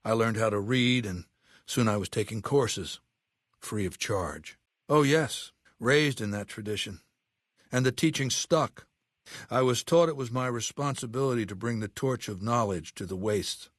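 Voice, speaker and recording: An actor playing an imperious, cerebral middle-aged warlord in a video game, speaking with deliberate, disdainful authority. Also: male voice, fairly low pitch, dry recording, clean studio sound, natural voice. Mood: reflective, wry. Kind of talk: video game character dialogue.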